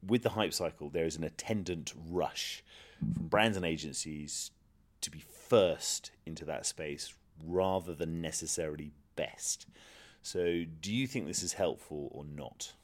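A man's voice: very deep voice, unhurried, dry recording. A man hosting a podcast, delivering scripted links and interview questions.

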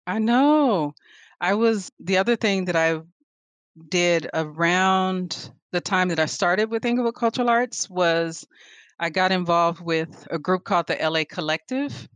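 Woman, 40s, chatty interview guest, animated storyteller, dry mic. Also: on the low side, unhurried, no echo. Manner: animated storyteller, laughs often. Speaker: guest, photographer and artist. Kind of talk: casual interview conversation.

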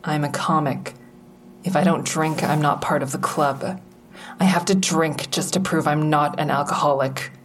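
A woman's voice: sits low, steady tone, moderate pace, natural voice. An actress playing a defensive, sardonic woman comedian in an audio drama, wry and guarded, confiding her thoughts as narration. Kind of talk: full-cast audio drama acting with first-person narration.

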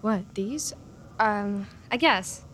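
A woman's voice: mid-range voice, very slow, a bit bright, slight background noise. An actress playing an eager, awkward, chatty young woman in an audio drama, both confiding narration and dialogue. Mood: nervous, hopeful. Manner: chatty, hesitant, nervously upbeat. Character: eager, awkward, clingy young woman, human best friend of a vampire.

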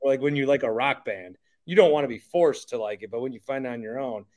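A man's voice: mid-range voice, fast, darkish tone. A man hosting a podcast, chatting casually and prompting his guest with emphatic remarks. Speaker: podcast host. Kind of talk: casual interview chat.

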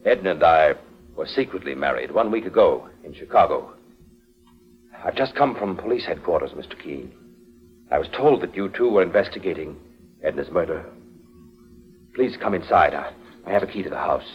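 An actor playing a courteous, grieving husband, restrained and halting, in a golden age radio drama. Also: male voice, low voice, expressive, slightly rough, faint music behind, heavily acted. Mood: subdued grief. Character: grieving, courteous secret husband of a murdered woman. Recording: muffled vintage 1940s radio recording.

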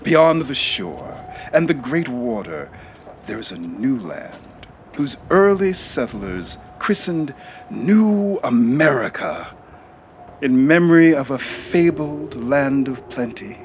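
A slow, theatrical, ominous storyteller. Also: male voice, mid-range voice, big pitch swings, a little hiss or hum. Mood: solemn, ominous. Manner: dramatic, deliberate. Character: grandiose, ominous male storyteller host of satirical sci-fi serial. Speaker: narrator. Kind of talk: theatrical scripted narration.

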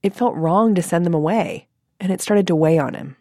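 An American woman with a lowish, very soft-edged mellow voice narrates as a podcast host. The sound is dry and close, recorded in a studio. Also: normal speed, dry recording. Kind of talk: scripted narration and interviewing.

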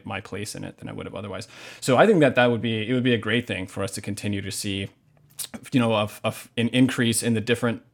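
A man with an American accent speaks in a fairly low voice as an interview guest. His delivery is earnest and thoughtful, and he often searches for the right wording in a conversational way. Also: vocal fry. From Hawaii, United States. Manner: earnest, reflective, searching for words. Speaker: podcast guest, novelist.

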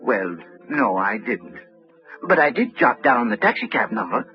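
An actor playing a chatty, helpful little neighborhood druggist in an old radio drama, folksy and eager. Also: male voice, medium pitch, sing-song, a little slow, soft background music. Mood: helpful, animated. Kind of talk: 1950s radio drama acting. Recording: muffled, dry vintage radio recording.

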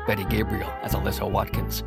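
A male announcer delivers scripted credits in a deep voice with heavy fry. Loud music plays throughout behind him. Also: level tone, quick pace.

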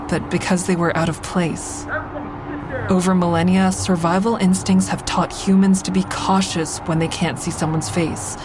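An actress narrating as a woman who survived a train attack, telling it in a measured, reflective first person. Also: lowish voice, background noise, lightly acted. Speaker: lead narrator of the drama. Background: music underneath.

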